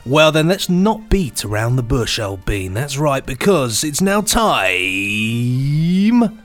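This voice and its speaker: A man's voice: medium pitch, very animated, takes it slowly, soft background music. A man co-hosting a podcast in a playful, jokey style, moving between chat and scripted announcements. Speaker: podcast co-host. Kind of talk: chatty, semi-scripted podcast presenting.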